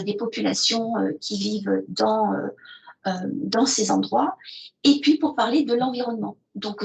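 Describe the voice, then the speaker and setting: Woman, online presenter, hesitant, gravelly touches, fairly high voice. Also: low-quality recording. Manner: hesitant, many fillers. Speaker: presenter of an educational video platform. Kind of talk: webinar presentation with screen sharing.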